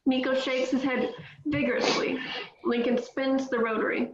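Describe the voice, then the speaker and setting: A woman reading stage directions in a steady, matter-of-fact way at a table read. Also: sits high, moderate pace. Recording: echoey, dull, faint noise.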